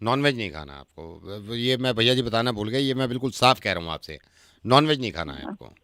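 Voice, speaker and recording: Guy as a strict nosy landlord type, clear forceful voice, keeps insisting on rules, prank call. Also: medium pitch, very animated, a little fast, voice pushed down. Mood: stern. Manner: insistent, repetitive, laying down rules. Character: strict, unbending, nosy male landlord's brother.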